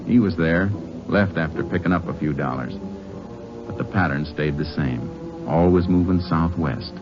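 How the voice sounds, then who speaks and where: Man acting a steady Texas Ranger, low voice, American accent, terse folksy delivery, radio western, muffled vintage recording with music behind. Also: moderate pace, natural voice. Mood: terse, determined. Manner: folksy, terse cowboy talk. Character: laconic Texas Ranger, shrewd, steady lawman posing as drifter. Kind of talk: old-time radio western acting and narration.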